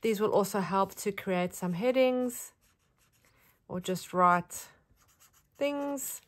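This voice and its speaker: Woman, South African accent, casual rambling craft vlog chat, medium pitch. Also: lively intonation, slow pace. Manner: chatty, friendly, rambling with fillers. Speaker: craft vlogger. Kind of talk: casual show-and-tell.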